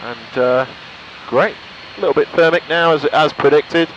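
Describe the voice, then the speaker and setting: A man's voice: fairly high pitch, normal speed, a bit bright, highs cut off. A man pilot giving casual, self-critical, explanatory flight commentary and short radio calls. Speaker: pilot and vlogger. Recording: engine noise in light aircraft. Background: aircraft engine hum.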